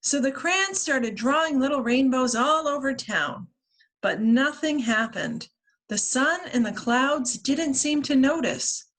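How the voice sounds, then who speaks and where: A woman with a fairly high voice reads a picture book aloud for young children. Her pace is very slow, and her phrasing is deliberate and expressive, as a librarian's at story time. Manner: expressive, deliberate phrasing with acted-out character lines.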